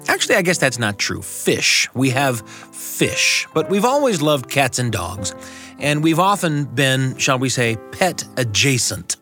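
A middle-aged American man hosting a radio show and telling personal stories in measured, deliberate phrasing. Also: medium pitch, very animated, sharp tone, soft background music. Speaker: radio host and storyteller. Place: dry radio studio.

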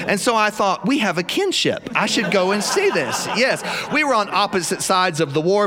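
Animated high-pitched Southern storytelling man. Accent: Southern American. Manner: folksy, theatrical, drawn-out punchlines. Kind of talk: live comic storytelling to an audience.

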